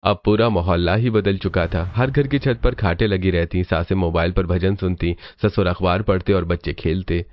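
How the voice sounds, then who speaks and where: A man's voice: sits low, fairly even intonation, moderate pace, few pauses, dry recording, dull audio. A man reading scripted story narration.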